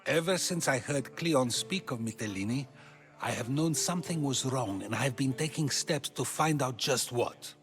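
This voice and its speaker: Game casting: a man playing a calm, probing old philosopher, measured and questioning. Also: mid-range voice, faint music behind, close to an everyday voice.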